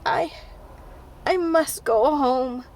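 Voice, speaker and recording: A woman's voice: high voice, a little mellow, rough recording. Woman doing a dazed, sick young girl. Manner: slow, halting, thick-voiced.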